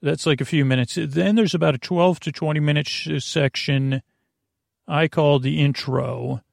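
A man with a creaky voice hosts a bedtime podcast, meandering haltingly through tangents with self-deprecating, absurd humour. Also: a little high, steady tone, dry recording, studio quality. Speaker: podcast host. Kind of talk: rambling bedtime podcast monologue.

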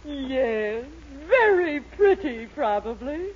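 High-voiced, expressive middle-aged wife. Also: female voice, slow, heavily acted. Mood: pleasantly curious. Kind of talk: 1940s radio comedy acting. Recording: muffled, very noisy 1940s radio recording.

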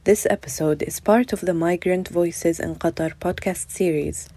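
A woman's voice: on the low side, steady tone, moderate pace, dark tone. A woman giving a scripted, formal introduction to a podcast series. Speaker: podcast presenter.

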